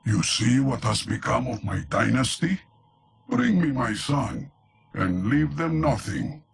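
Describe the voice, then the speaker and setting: A man playing a grave, ruthless leader of a fallen dynasty in a video game, speaking with solemn, measured command. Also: mid-range voice, very animated, vocal fry, heavy background noise, full character voice. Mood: cold, vengeful. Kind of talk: dramatic game briefing acting. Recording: studio voice under loud music.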